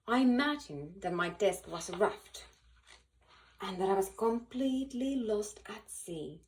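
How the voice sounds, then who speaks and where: Expressive woman reading a children's story. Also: medium pitch, slight background noise. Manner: animated, dramatic pauses and emphasis. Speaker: volunteer children's book reader.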